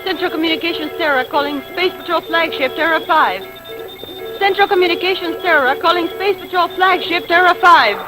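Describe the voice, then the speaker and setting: An actress playing an efficient space communications operator in an old-time radio drama, crisp and official. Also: female voice, very high voice, fairly quick, music in the background, low-quality recording. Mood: businesslike, urgent.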